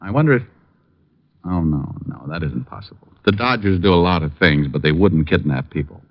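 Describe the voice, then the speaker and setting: An actor playing a glib, sardonic, cocky private eye in 1940s radio acting style. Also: male voice, low voice, fairly quick, dry recording. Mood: flustered, smitten. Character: wisecracking, flirtatious, cocky male private eye. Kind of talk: 1940s radio acting with first-person narration. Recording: muffled vintage radio recording.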